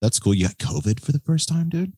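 An American man hosting a podcast in casual, slangy chat, with a husky voice and a laid-back manner. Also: fairly high pitch, very animated, a little fast, mellow, no room sound, clean studio sound. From United States. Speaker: podcast host. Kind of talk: casual chat about motor racing.

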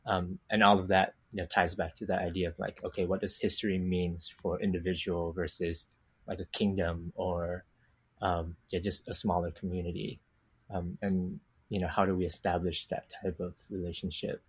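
A man's voice: low-pitched, flat delivery, normal speed, husky. A young man from Vietnam, a guest on a podcast, talking thoughtfully and unhurriedly about his work, with many filler words. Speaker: podcast guest, comic artist. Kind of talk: casual interview talk.

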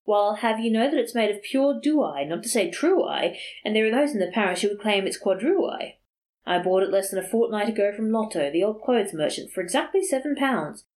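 A woman's voice: medium pitch, darkish tone, close to an everyday voice, low-quality recording. A woman voicing a lustful village priest who wheedles and boasts.

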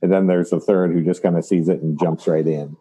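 A man's voice: sits low, fairly even intonation, brisk, dark tone, breathy edge. A man in his fifties co-hosting a podcast, chatting casually and reflectively with joking asides and frequent restarts. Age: 50s. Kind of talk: casual reminiscing chat.

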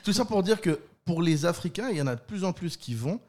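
A man's voice: high voice, a little fast. A man co-hosting a casual podcast, telling stories with lots of restarts and laughter. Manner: animated storyteller, stammering restarts, laughs often. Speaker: podcast co-host. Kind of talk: casual chat.

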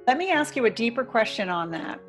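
A woman's voice: fairly high pitch, very animated, a little fast, faint music behind. A woman hosting an interview podcast, asking questions in an affirming, encouraging way. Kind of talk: interview, casual chat.

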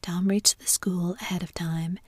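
Woman whispering a storybook, breathy and dry. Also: fairly low pitch, steady tone, unhurried, no room sound. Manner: soft unvoiced whisper throughout. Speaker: narrator. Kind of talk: whispered audiobook reading.

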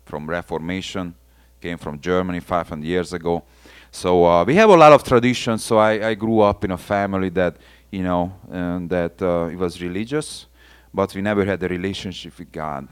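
Deep-voiced Hungarian-accented man preaching. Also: clean tone. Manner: fervent, frequent "amen" call-outs. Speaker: visiting missionary preacher. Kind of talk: testimony sermon to a church.

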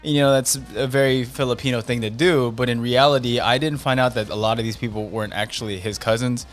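A man's voice: mid-range voice, steady tone, fast, faint music behind. A man co-hosting a casual chat, curious and prodding with follow-up questions.

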